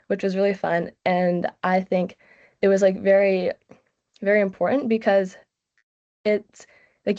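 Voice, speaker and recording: A woman's voice: medium pitch, warm tone. A sixteen-year-old girl from the United States, giving a casual student presentation with lots of "like" fillers. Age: teens. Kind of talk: online presentation. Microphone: video call. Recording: muffled lo-fi video call audio.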